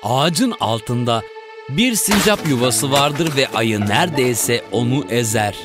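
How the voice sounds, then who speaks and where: A man's voice: mid-range voice, expressive, unhurried. A man narrating a scripted children's animal story as the storyteller. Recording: music behind the voice. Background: background music.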